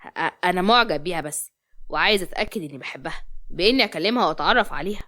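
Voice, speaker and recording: A woman voicing a smooth, persistent young man in love, coaxing and confident, with an Egyptian accent. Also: on the low side, animated, very fast, sharp tone, pitched below the performer's own voice.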